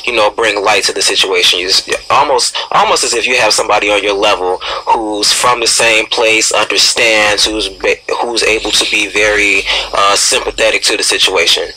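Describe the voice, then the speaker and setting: A young American man co-hosting a casual radio interview, talking haltingly with many filler phrases. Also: on the low side, fairly even intonation, pushed and bright, husky, poor audio. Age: young adult. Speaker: radio show co-host.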